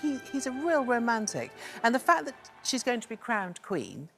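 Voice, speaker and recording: A woman's voice: on the high side, big pitch swings, normal speed, creaky, fairly bright tone, faint music behind. A British woman giving her opinion as a columnist in a TV discussion, emphatic and repeating words for stress. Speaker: newspaper columnist, studio guest. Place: television studio.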